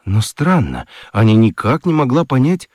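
A man narrating a children's radio story in an expressive, theatrical, confiding way with playful gravity and dramatic pauses. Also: mid-range voice, no echo. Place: studio. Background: music.

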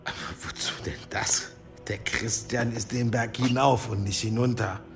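Radio play senior monk played by a man: mid-pitched, stern, schoolmasterly, impatient, faint music underneath. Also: steady tone, normal speed, natural voice. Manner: exasperated, schoolmasterly. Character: stern, impatient monk herbalist scolding his assistant. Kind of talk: German radio play acting.

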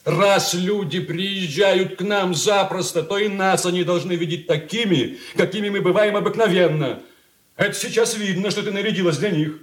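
A man's voice: high voice, normal speed, lightly acted. An actor playing an irritable, pompous grown son who bosses his family in a 1980s Soviet radio play, in a roomy studio. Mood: scornful. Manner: peevish, haughty, emphatic.

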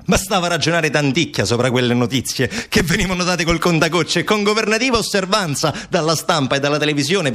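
A man delivers a theatrical monologue in a slightly high voice. He is an expressive storyteller who shapes his phrases deliberately. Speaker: stage actor and storyteller.

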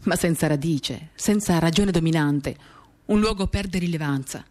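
A woman giving a measured, expressive dramatic reading of a first-person novel, pausing as she tells it. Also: low-pitched. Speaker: reader of a literary work. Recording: acoustic guitar music behind the voice. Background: acoustic guitar accompaniment.

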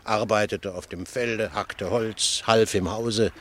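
An actor playing a wry, gossipy ship passenger in a radio play, telling tales in with a sardonic manner. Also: male voice, lowish voice, takes it slowly. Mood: amused, mocking. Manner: sardonic, chuckling, animated storytelling. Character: wry, worldly, gossipy male ship passenger, mocking raconteur. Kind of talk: German radio play dialogue.

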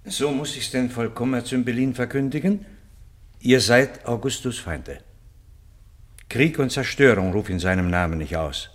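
An actor playing a formal, dutiful Roman envoy, grave and measured, in a classical radio drama. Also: male voice, medium pitch, close to an everyday voice. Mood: grave, reluctant.

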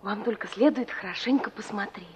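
A woman's voice: medium pitch, a little fast, slight background noise, lightly acted. An actress playing an earnest, stubborn sixteen-year-old village girl in a Soviet radio play, prim and insistent. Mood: earnest, dreamy. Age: teenage character. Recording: dull 1960s radio play recording.

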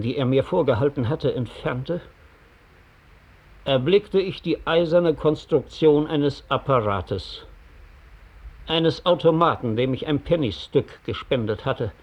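Husky, bitter ex-informant. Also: male voice, a little high, lively intonation, measured pace, darkish tone, dull audio, full character voice. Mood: brooding, reflective. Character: bitter, reflective male former Gestapo informant recounting his past. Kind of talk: mid-century radio drama monologue.

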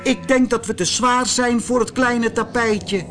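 A man's voice: extremely high pitch, steady tone, measured pace, fairly bright tone, soft background music, voice pushed up. A man voicing an earnest, plucky young boy who is learning magic, in a storybook reading. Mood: anxious, determined.

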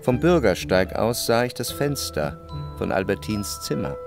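An actor playing an introspective, well-to-do narrator in a literary radio play, reflective and restrained in manner. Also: male voice, fairly low pitch, very animated, a little slow, gravelly, heavily acted. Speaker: first-person narrator. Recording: music playing behind the voice.

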